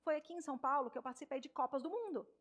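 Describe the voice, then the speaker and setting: Woman, Minas Gerais accent, speech therapist, high pitch, animated, addresses audience, auditorium stage. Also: very fast, dull audio. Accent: Minas Gerais Portuguese. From Minas Gerais, Brazil. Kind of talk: motivational stage talk.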